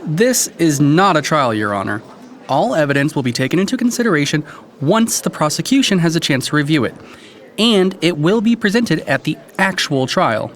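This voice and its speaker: An actor playing an indignant, combative courtroom prosecutor in a dramatic audiobook. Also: male voice, on the high side, sing-song, moderate pace, a bit bright.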